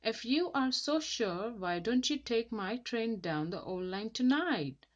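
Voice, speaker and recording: A woman's voice: fairly high pitch, expressive, unhurried, lo-fi sound. Woman putting on a nervous, grumbling steam engine. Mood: indignant. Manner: grumbly, anxious, blustering. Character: nervous, grumbling male steam engine who fears ghosts.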